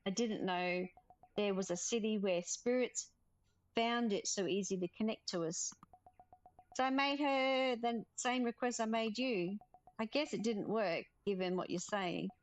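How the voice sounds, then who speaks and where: A woman's voice: medium pitch, unhurried, faint music behind, lightly acted, poor audio. A woman reading the part of a thoughtful, modest florist who loves plants, in a visual novel. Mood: wistful. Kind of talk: visual novel character read aloud.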